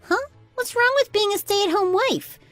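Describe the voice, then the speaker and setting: Woman playing a catty, high-pitched smug sister-in-law. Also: a little slow, no room sound, soft background music. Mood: indignant. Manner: animated, preachy, boastful, swooping into indignant questions. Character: smug, catty stay-at-home sister-in-law, scolding and boastful. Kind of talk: scripted radio drama dialogue.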